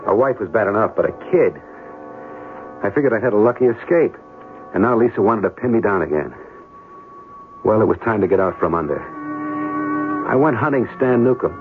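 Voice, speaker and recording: An actor playing an evasive, selfish young American military pilot in an old-time radio drama, talking in slangy fashion. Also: male voice, on the low side, animated, brisk, long pauses, narrow, tinny audio, lightly acted. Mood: rueful, cynical narration. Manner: slangy, hesitant when making excuses. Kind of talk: old-time radio drama acting with first-person narration. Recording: band-limited old radio broadcast, music behind.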